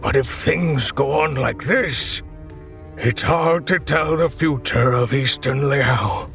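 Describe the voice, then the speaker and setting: A man voicing a blind, frail old man who tells stories in a slow, weary, complaining drawl. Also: medium pitch, a bit bright, soft background music, voice pushed up.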